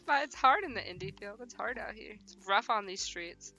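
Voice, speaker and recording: A woman hosting a livestream, switching between casual slangy chat and reading game text aloud in an animated way. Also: medium pitch, moderate pace, faint music behind. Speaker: host of a visual novel livestream.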